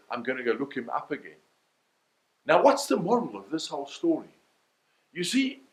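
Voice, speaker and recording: A man's voice: fairly high pitch, fairly bright tone. A man with a South African accent gives a motivational talk, telling stories in a theatrical, emphatic way with rhetorical questions. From South Africa, Cape Town. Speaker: motivational keynote speaker. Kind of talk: animated storytelling sales talk. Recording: roomy, echoey sound.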